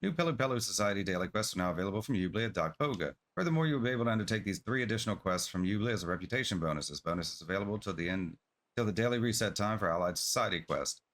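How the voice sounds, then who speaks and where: Fast-talking low-voiced man streaming a game. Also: expressive, a bit bright. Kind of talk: reading game quest text aloud with casual comments.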